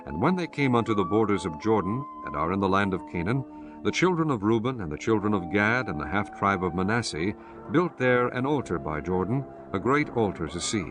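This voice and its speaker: A man narrating scripture for an audiobook in a measured, solemn way. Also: on the low side, very animated, over music.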